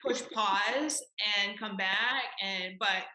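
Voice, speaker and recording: A woman's voice: mid-range voice, takes it slowly, bright, forceful tone. A woman hosting an interview podcast, introducing her guest with upbeat enthusiasm. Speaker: podcast host. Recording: echoey room.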